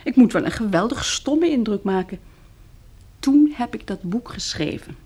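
An actress in a radio drama playing a poised, frank young woman survivor, worldly and self-mocking, in soft, intimate dialogue with a husky, breathy voice. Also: on the high side, animated, moderate pace.

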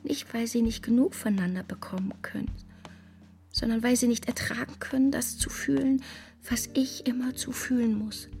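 An actress in a German radio drama playing an anxious, earnest, wistful woman with a breathy voice. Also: a little high, steady tone, moderate pace, darkish tone, natural voice. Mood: intense melancholy reading.

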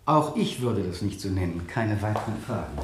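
An actor playing a relentless, sarcastic prosecutor in a German radio drama, questioning in a pointed, theatrical way. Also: male voice, fairly low pitch, lively intonation, moderate pace, roomy sound, close to an everyday voice. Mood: cutting. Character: relentless, sarcastic male prosecutor cross-examining the accused.

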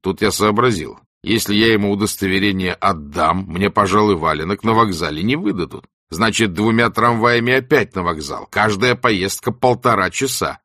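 Man voicing a gruff, low, wry ailing exile. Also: moderate pace, few pauses, no room sound, clean studio sound. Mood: aggrieved, wry. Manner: animated, colloquial storytelling.

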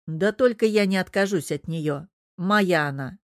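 A woman's voice: fairly low pitch, a little slow, no echo, clean studio sound. A woman voicing a stubborn, exasperated young man in love, slangy and defiant, in a story reading. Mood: defiant, cocky.

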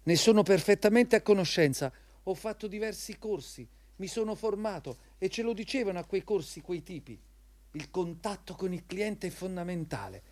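An anxious company employee in a radio drama, his voice pitched high. He is obsequious and eager to please, running on in nervous, repetitive strings of explanation and agreement. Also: expressive, moderate pace, a little mellow. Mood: deferential, reciting.

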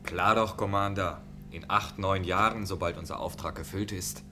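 A man's voice: low-pitched, fairly even intonation, moderate pace, over music. A man playing a suspicious starship second-in-command in a science-fiction radio drama, sardonic and officious. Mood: sardonic, assured.

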